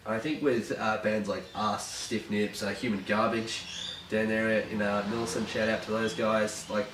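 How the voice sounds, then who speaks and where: A man's voice: lowish voice, little pitch movement, normal speed, fairly bright tone. A man with a nasal, stuffy voice, chatting casually in a band interview, joking and rambling with lots of fillers. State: stuffy from hay fever. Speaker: band bassist. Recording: echoey room, distant mic, faint noise.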